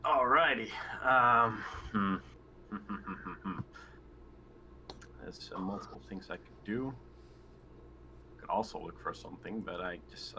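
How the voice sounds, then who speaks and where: A man's voice: deep voice, bright, forceful tone. A man chatting casually as a player in an online tabletop roleplaying game. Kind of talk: casual chat during online gaming. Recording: noisy online voice call.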